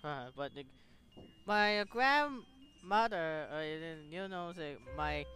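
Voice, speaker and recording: A man giving casual let's-play commentary and reading game text in halting, broken phrases. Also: high-pitched, very animated, pushed and bright, faint music behind. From Philippines. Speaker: gaming video host.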